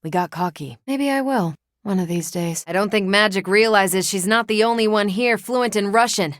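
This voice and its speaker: A woman's voice: medium pitch, normal speed, no room sound, clean studio sound, lightly acted. A woman playing a confident, wry, tough superheroine soldier in a video game, with casual, self-assured banter. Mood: wry, teasing. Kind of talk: scripted video game voice acting.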